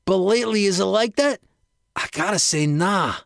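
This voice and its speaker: A man's voice: high voice, expressive, normal speed, vocal fry, no room sound, clean studio sound, voice pushed up. A man voicing a burly, good-natured cement worker in a slangy tough-guy delivery for a storytelling piece. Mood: grumbling.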